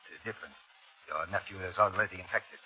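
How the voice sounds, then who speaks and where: An actor playing a callous, domineering scientist doctor in a 1930s radio drama. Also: male voice, low-pitched, fast, pushed and bright. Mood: cold. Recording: dull, noisy 1930s radio broadcast.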